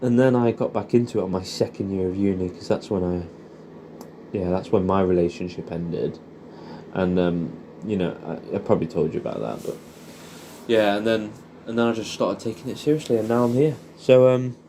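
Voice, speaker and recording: Mellow-voiced young English man, rambling casually. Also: lowish voice, moderate pace, slight background noise. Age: young adult. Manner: rambling, hesitant, informal with swearing. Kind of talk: casual, intimate monologue addressed to an old friend.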